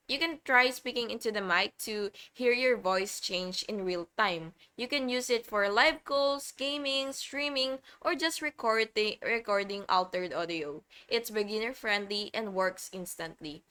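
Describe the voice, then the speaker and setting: A woman narrating a step-by-step software tutorial, slightly hesitant and now and then repeating her phrases. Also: a little high, measured pace, hardly any pauses, smooth. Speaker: tutorial host.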